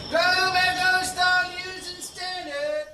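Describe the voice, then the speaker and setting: Shrill echoey newspaper seller crying headlines. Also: male voice, flat delivery, exaggerated voice. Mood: bawling. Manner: drawn-out sing-song street cries. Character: brash street newspaper seller hawking headlines. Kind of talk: radio drama acting. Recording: distant echoey voice over music.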